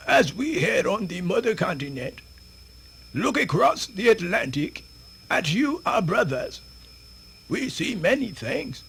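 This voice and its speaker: Radio theatre: animated old Southern US storyteller, creaky high voice, folksy, wandering delivery with big pitch swings. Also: male voice, a little hiss or hum, heavily acted. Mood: reflective. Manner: rambling, folksy, theatrical hesitations and repetitions. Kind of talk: radio theatre folktale monologue.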